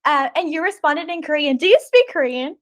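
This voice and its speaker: Young woman with a high, hesitant voice. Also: lively intonation, brisk. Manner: hesitant, many ums and giggles. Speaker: host. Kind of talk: casual interview chat.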